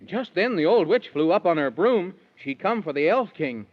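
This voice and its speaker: A genial storybook narrator reciting in rhyme for children. The delivery is 1930s radio storytelling, on a dull, muffled old recording. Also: male voice, high-pitched, animated, moderate pace.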